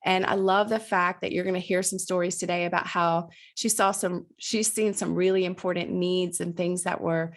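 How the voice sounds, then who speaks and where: A woman hosting a podcast interview, enthusiastic and effusive, a little nasal and raspy from allergies. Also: mid-range voice. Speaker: podcast host. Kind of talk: conversational interview.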